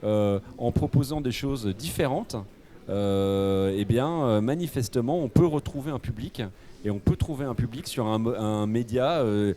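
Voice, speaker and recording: A man, a radio executive speaking on a conference panel, halting, with many fillers. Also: medium pitch, warm tone.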